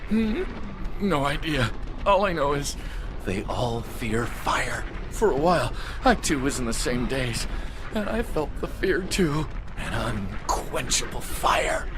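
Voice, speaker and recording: A man's voice: a little high, sing-song, takes it slowly. An actor playing a nervous, rambling male villager hiding under a basket in a video game, heard over noisy ambience. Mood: uneasy.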